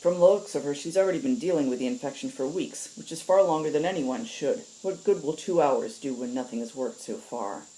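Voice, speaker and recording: A woman's voice: sits low, a little fast, few pauses, warm tone, close to an everyday voice, low-quality recording. A woman voicing a stern, weary, blunt female agent in a story. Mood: exasperated.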